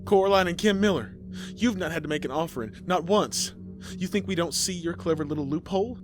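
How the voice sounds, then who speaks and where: A man's voice: high voice, brisk, over music, voice pushed up, natural voice. A man voicing a desperate, defiant farm mother in a horror story, emphatic and accusing. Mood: bitter accusing.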